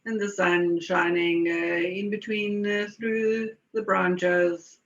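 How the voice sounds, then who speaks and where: A woman slowly guiding a meditation in a soothing, unhurried way, with lingering pauses. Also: on the low side, little pitch movement. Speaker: guided meditation leader. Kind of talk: slow guided meditation. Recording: dull, echoey room recording.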